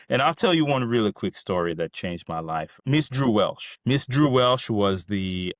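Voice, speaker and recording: A man's voice: deep voice, dry recording, clean studio sound. A man in his thirties with a Ugandan accent, telling his life story earnestly, with many fillers and restarts. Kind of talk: personal storytelling to camera.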